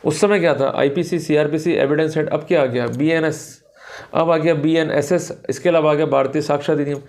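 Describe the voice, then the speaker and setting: A man's voice: fairly high pitch, steady tone, brisk, darkish tone. A man lecturing on law in a didactic, emphatic way, explaining points to viewers and slipping into formal English phrases.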